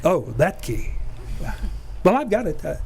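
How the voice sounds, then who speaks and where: A breezy, wisecracking detective voiced by a man who trades jabs with the police. His voice sits a little high, and it is cocky and quick with a comeback. Faint noise hums behind this old-time radio play. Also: big pitch swings, creaky, darkish tone, full character voice. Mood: wry. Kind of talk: community theater radio drama.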